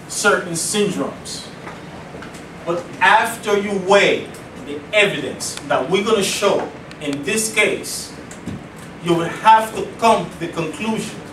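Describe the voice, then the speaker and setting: Man, mock trial prosecutor, slow halting formal delivery, echoey room, noisy recording. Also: sits high, distant mic. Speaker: law student acting as prosecutor. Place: echoey indoor room, distant microphone, noise behind.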